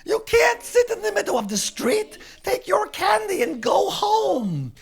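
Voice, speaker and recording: A storyteller voicing a blustering, excitable male policeman with a booming, incredulous way of exclaiming. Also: unhurried, gravelly, full character voice. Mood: loud exasperation.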